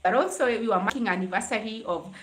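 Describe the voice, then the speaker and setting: Casting: young Kenyan woman, low-pitched-to-average range not required, casual livestream host chatting with commenters, roomy echo on the sound. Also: fast, noisy background. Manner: conversational, greeting and answering commenters. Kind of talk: casual live chat with viewers. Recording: roomy, echoing live stream sound.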